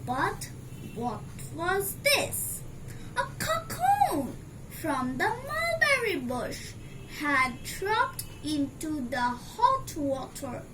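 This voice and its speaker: A woman reading a children's story aloud in a deliberate, phrase-by-phrase storytelling delivery. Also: extremely high pitch, sing-song. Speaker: storybook reader. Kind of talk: scripted storybook narration for children. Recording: clearly noisy amateur recording.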